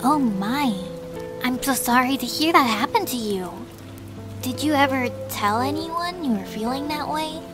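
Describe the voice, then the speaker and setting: A woman's voice: sits high, animated, unhurried, over music. A woman voicing a caring, affectionate female cartoon beaver girlfriend in a cutesy, soothing, doting way. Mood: concerned. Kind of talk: cartoon fan fiction audio reading.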